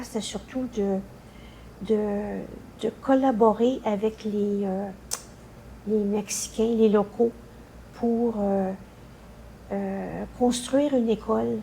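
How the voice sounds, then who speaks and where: Older woman, Quebec French accent, unhurried, soft-edged mellow tone, halting recollection, light background noise. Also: mid-range voice, gravelly, low-quality recording. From Canada. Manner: hesitant, halting, searching for words. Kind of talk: unscripted personal recollection.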